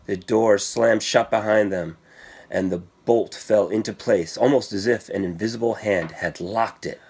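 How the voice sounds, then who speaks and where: A man's voice: lowish voice, a little slow, a little mellow, breathy edge. Man dramatically reading a children's story. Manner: theatrical, suspenseful delivery with emphatic sound words. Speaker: storybook reader.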